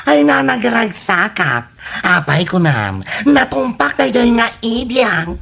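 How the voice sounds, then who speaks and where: A performer plays a scornful, nagging scolder in an Ilocano comedy radio drama. The delivery swings widely and moves slowly, with a raspy edge and an Ilocano accent, full of taunts. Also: medium pitch, sing-song, fairly bright tone, full character voice. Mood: taunting.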